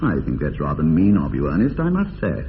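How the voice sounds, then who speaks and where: Through a tinny, echoing old radio sound comes a witty young English toff, arch and mock-earnest. His pitch swoops in lively mockery as he teases with polished upper-class phrasing. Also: fairly quick, exaggerated voice. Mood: dry teasing. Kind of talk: radio drama acting of period comedy. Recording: tinny band-limited radio, long reverb, hiss.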